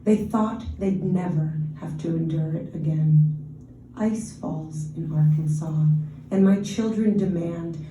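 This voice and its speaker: A woman poet, from Ukraine and raised in the Washington DC area, with Russian as her first language, giving a measured, deliberate poetry reading with brief casual asides. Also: low voice, mellow, slight background noise. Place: reading venue, roomy space. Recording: roomy live recording from a distance.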